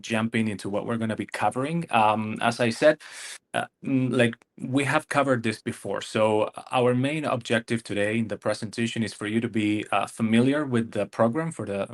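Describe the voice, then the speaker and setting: A man from Argentina, speaking, presents a webinar in a somewhat low voice. He hesitates often, restarting phrases and filling pauses as he explains. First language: Spanish. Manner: hesitant, many fillers and restarts. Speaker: immigration consultant.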